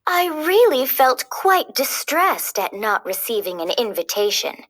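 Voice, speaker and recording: A woman voicing an evil sorceress in a storybook, mock-polite and deliberate as she threatens. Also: high voice, very animated, a bit bright, heavily acted. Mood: coolly menacing. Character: evil sorceress, coolly wicked, cursing a baby.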